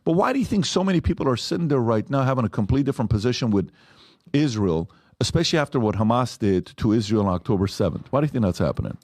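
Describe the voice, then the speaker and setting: A man of ordinary pitch hosting an interview, winding through long questions with restarts and fillers. His voice is close and dry, with no room around it. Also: big pitch swings, very fast, darkish tone, dry recording. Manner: rambling, restarts and fillers while framing questions. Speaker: podcast host.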